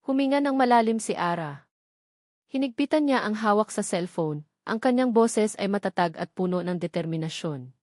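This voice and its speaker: A woman reading a scripted dramatic love story as narrator, in a measured storytelling manner. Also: medium pitch, expressive, a little mellow, dry recording, studio quality.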